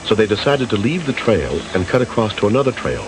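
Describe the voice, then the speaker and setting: A man's voice: fairly low pitch, big pitch swings, fast. A man narrating a dramatic rescue documentary from a script, on noisy old TV audio.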